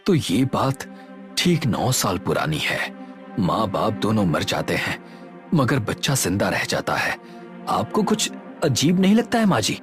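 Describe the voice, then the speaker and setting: A man's voice: fairly low pitch, very animated, a little slow, fairly bright tone, soft background music. A man voicing a determined, grieving young man in a horror story, earnest and accusing in manner. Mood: suspicious.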